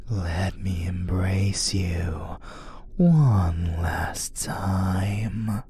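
Casting: an unhinged vampire sweetheart for audio roleplay, very deep and breathy, crooning extremely slowly, possessive and seductive. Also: male voice, mellow, a little hiss or hum, full character voice. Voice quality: breathy, often whispering. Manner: slow, crooning, sinister endearments. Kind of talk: intimate ASMR audio roleplay.

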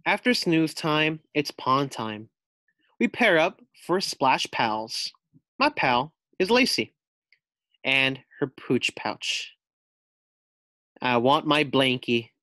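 A man's voice: a little high, very animated, slow pace, sharp tone, no echo. A man reading a children's picture book aloud as a teacher, expressively, with simple asides to young listeners.

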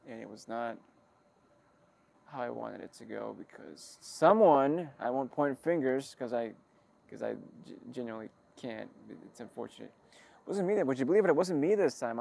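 Guy rambling into an audio log, kinda wry, stop and start, lots of vocal fry. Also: medium pitch, animated, darkish tone. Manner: halting, self-interrupting, wry and figurative. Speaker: creator of an audio log channel. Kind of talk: rambling personal monologue.

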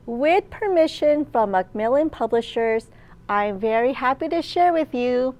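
Lady librarian doing kids storytime, high voice, really slow and animated. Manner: animated, slow and clearly enunciated. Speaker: children's librarian and storytime host. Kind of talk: picture-book storytelling for small children. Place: large indoor library room.

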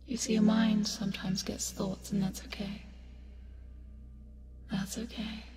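A woman's voice: medium pitch, level tone, dark tone, faint music behind, lightly acted. A woman playing an eerie yet soothing sleep paralysis demon, whispering breathily and coaxingly in an ASMR hypnosis roleplay. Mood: soothing, hypnotic. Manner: breathy whispering, slow, coaxing, drawn-out words.

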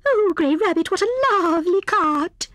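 A woman's voice: very high voice, animated, measured pace, fairly bright tone, dry recording, clean studio sound, voice pushed up, full character voice. A woman voicing a fussy female squirrel in a children's storybook, speaking in a prim, exclamatory way. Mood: delighted.

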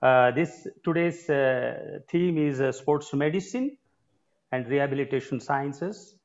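A man's voice: medium pitch, expressive. Older indian guy on zoom, stops and starts a lot, kinda muffled audio. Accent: Indian English. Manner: halting, with many filler sounds. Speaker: conference advisor and retired sports coach. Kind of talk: keynote remarks at an online conference. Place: home room with shelves of trophies. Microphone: laptop or earphone mic on a video call. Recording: muffled video call audio.

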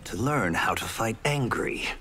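An actor playing a guarded, earnest young fighter in a video game, terse and serious. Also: male voice, lowish voice, lively intonation, measured pace, sharp tone. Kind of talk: fighting game character dialogue. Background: game music.

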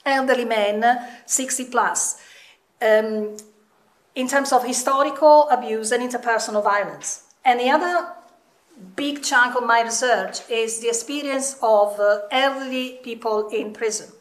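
A middle-aged woman with a Southern European accent addresses a seminar in a candid, emphatic way. Her voice sits fairly high. She speaks at a stand mic in a room with a strong echo. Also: measured pace. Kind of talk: informal public talk. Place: indoor seminar room with seated audience. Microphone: microphone on a stand. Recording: echoey room, some distance from mic.

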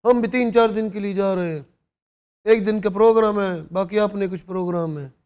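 A man's voice: sits high, darkish tone, lightly acted. A man playing a sassy auntie singer. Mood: teasing. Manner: teasing, playful, sings folk tune slowly. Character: woman character, middle-aged auntie singer, sassy. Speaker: comedian in drag. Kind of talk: comedy sketch with singing. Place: TV studio set.

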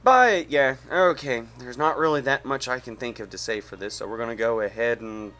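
A young man hosts a casual video chat in a bright, forward voice. He rambles and halts as he goes. It is picked up by a webcam mic in a small room. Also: medium pitch, moderate pace. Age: young adult. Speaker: video host.

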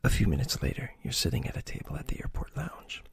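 A man reading an adventure gamebook aloud in a soft, breathy ASMR whisper, stopping to choose pages. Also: mid-range voice, big pitch swings, quick pace. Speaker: reader of a choose-your-own-adventure book.

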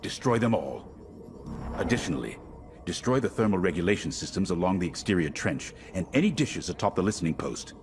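An actor playing a middle-aged rebel commander in a video game, authoritative, measured and secretive. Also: male voice, low voice, very animated, background noise. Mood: commanding. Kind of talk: video game briefing dialogue.

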